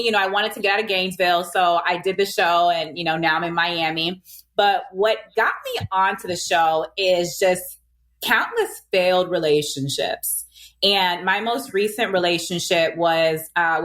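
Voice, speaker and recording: A woman's voice: fairly low pitch, a bit bright. Chatty woman in her thirties. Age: 30s. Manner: chatty, laughing often, fillers. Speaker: podcast guest. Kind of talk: casual interview chat.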